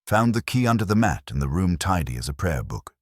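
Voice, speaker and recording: Male reader as haughty, observant English landlady for a mystery tale: clipped phrasing, very low register, animated intonation. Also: a little fast, no room sound, clean studio sound. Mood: clipped, matter-of-fact. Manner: clipped, terse fragments, haughty. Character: prim, sniffy English landlady, guarded and observant. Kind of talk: dramatised mystery audiobook.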